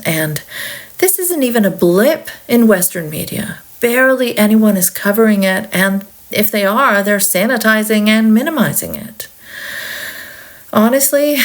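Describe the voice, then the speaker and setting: A woman from Saudi Arabia hosting a podcast, giving a reflective political monologue in a candid, conversational way with wry asides. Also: medium pitch. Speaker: podcast host.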